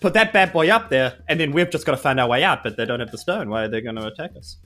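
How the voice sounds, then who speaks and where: A woman's voice: extremely low pitch, expressive, fast talker, fairly bright tone, faint music behind. A woman trading casual, joking banter as a player in a tabletop game.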